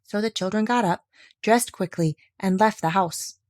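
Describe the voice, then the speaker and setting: Female fairy-tale narrator: medium pitch, measured expressive read, dry studio-like sound without room echo. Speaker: storyteller.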